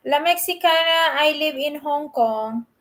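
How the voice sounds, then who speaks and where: A woman with a high, light voice hosts a casual live stream. She talks in a chatty, easygoing way, greeting each viewer by name. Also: a little slow, a bit bright. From Philippines.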